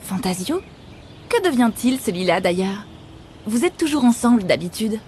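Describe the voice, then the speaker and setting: A woman's voice: medium pitch, big pitch swings, moderate pace, a little mellow, a little hiss or hum, lightly acted. A woman voicing a self-assured, sceptical young woman in a cartoon, with a dry, teasing manner. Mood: dry, curious. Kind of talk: cartoon voice acting.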